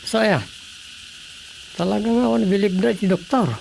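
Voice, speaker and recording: A man's voice: sits high, fairly even intonation, normal speed, gravelly, a little mellow, poor audio. An actor playing a cautious village councilman who urges seeing a doctor, in a radio drama. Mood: concerned. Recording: radio drama with background noise.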